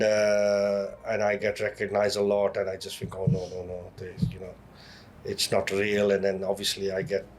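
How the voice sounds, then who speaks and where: A man from Leeds with a Yorkshire accent, talking casually as an interview guest. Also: lowish voice. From Leeds, Yorkshire, England. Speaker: podcast guest, online content creator. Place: in a pub over a meal.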